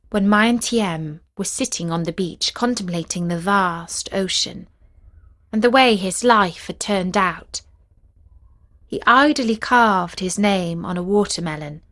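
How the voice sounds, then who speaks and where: A woman narrating a folk tale as a storyteller, reading from a script in a measured, expressive storybook manner. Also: medium pitch.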